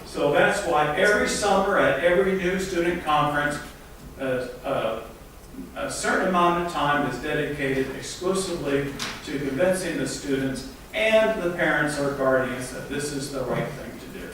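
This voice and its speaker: A man giving a formal conference presentation, slow and deliberate, recorded in an echoey hall from a distant mic. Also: fairly high pitch, a bit bright, slight background noise. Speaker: conference speaker.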